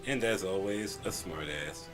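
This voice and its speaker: A man's voice: low voice, unhurried, loud music behind the voice. A man reviewing a video game in scripted narration, a little stuffy from a cold, plain and friendly.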